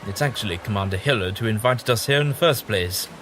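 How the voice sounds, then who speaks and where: A man's voice: lowish voice, fast talker, fairly bright tone, lightly acted. A man playing a kindly, bookish, eccentric time traveller with an amiable, slightly absent-minded manner in an audio drama. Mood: teasing. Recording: audible background noise.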